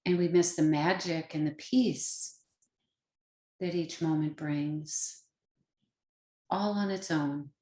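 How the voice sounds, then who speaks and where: A woman leading a guided meditation as a teacher, speaking gently in short, unhurried phrases with pauses between them. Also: low voice, steady tone. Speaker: yoga nidra meditation teacher. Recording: roomy, echoing sound.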